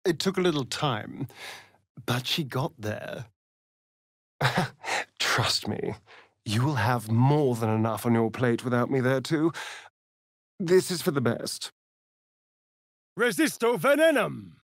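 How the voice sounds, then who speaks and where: Flirty sardonic british vampire rogue from a fantasy game, slow arch drawl, super dry close sound. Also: male voice, medium pitch, lively intonation, dry recording. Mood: measured, resolved, then incanting. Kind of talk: theatrical video game character acting.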